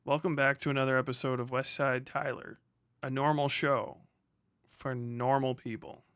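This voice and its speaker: A man's voice: medium pitch, steady tone, moderate pace, fairly bright tone, clean tone, no echo, clean studio sound. A man hosting a livestream, chatting casually and off the cuff, muttering to himself and swearing freely. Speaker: livestream host. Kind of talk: casual unscripted livestream chat.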